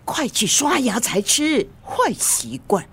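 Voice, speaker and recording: A woman's voice: on the high side, huge pitch swings, slow, creaky, fairly bright tone, breathy edge, exaggerated voice. An actress playing an elderly, caring but bossy grandmother who nags, in a Mandarin radio drama. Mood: nagging.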